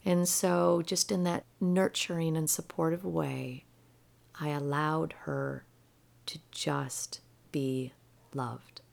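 A woman gently telling a personal story about healing a rescued dog, her voice soft and often dropping to a near whisper. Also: sits low, level tone, vocal fry. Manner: gentle and slow-paced. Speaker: animal healer.

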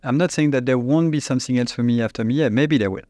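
French-accented man with halting English. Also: medium pitch, a little mellow, no echo, studio quality. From France. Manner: halting, restarts often, searches for English words. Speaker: company CEO, guest. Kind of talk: conversational interview answers.

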